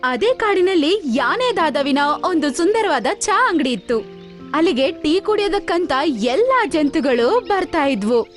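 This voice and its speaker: A woman's voice: extremely high pitch, very animated, unhurried. A woman narrating a scripted animal cartoon story as its storyteller. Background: light background music.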